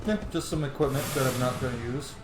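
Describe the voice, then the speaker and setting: A man giving casual, excitable, sweary commentary as he plays a role-playing video game. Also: mid-range voice, fast, over loud music. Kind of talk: casual let's play commentary. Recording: roomy echo, clearly noisy background.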